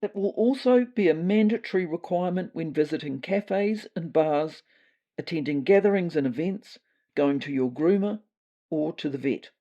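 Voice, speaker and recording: A woman voices an earnest male cat official in a comic audio story. The voice is mellow and soft-edged with a New Zealand accent, formal and slightly tentative in delivery. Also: low voice, normal speed, dull audio.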